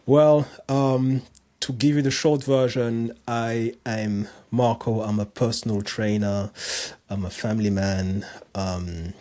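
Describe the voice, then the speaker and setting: A man's voice: mid-range voice, little pitch movement, slow, warm tone. A man in his thirties with a French accent, a personal trainer talking easily as a podcast guest with many restarts and fillers. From France and other countries. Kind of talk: casual podcast interview.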